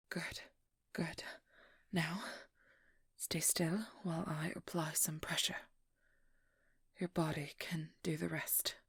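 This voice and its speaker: A woman playing a guarded, protective young vampire hunter in a hushed, breathy ASMR roleplay, speaking slowly with halting pauses close to the mic. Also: low voice, no room sound, heavily acted. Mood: firm, concerned. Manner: slow, halting, intimate delivery with pauses. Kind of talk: whispered ASMR roleplay, dramatic monologue. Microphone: close microphone, dry.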